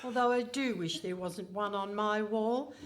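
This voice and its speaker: A woman playing a haughty, pompous Iron Lady politician in a comic radio play, speaking in a stately, deliberate manner. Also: mid-range voice, slight background noise, lightly acted. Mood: pompous, self-important. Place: hall stage before a live audience.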